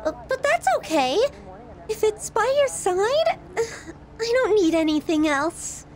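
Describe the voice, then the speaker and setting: A woman's voice: very high voice, very animated, a little mellow, full character voice. A woman playing a possessive, sadistic yandere girlfriend in an ASMR roleplay, cooing and teasing with sweet menace. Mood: clingy, devoted. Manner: cooing, drawn-out, teasing, clingy delivery.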